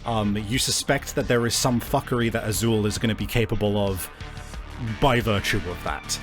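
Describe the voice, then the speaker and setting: A man acting as game master, improvising narration and rulings for a tabletop game in deliberate, hesitant phrases. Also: on the low side. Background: faint music.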